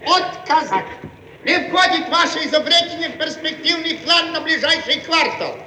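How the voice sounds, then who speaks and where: An actor with a Ukrainian accent playing an officious, obstructive Soviet secretary in a theatrical radio play. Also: male voice, very high voice, expressive, normal speed, pushed and bright, full character voice. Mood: dismissive. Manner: officious, dismissive. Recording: echoey, faintly noisy archive radio recording.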